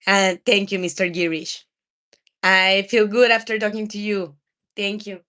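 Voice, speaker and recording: A woman's voice: mid-range voice, level tone, measured pace, pushed and bright. A woman in her thirties from Mexico, a native Spanish speaker with a Mexican accent, chatting casually with viewers as a livestream host. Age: 30s. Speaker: video game live streamer.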